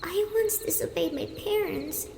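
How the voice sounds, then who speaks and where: A woman's voice: very high voice, gravelly, warm tone, reverberant, long reverb tail, slight background noise, voice pushed up, full character voice. A woman voicing the sad, pleading ghost of a lost little girl in an ASMR horror story. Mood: mournful pleading. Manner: plaintive, pleading, slow.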